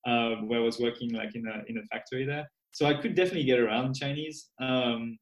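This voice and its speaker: A young man, a native French speaker with a French accent, answering a podcast host's questions in a thoughtful, measured way. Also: medium pitch, reverberant, rough recording. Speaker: podcast guest. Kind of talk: casual podcast interview.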